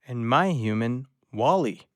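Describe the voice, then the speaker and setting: A man's voice: mid-range voice, lively intonation, a little slow, no room sound, studio quality, close to an everyday voice. A man playing a loyal, eager rescue dog in an audio drama, earnest and pleading with his human.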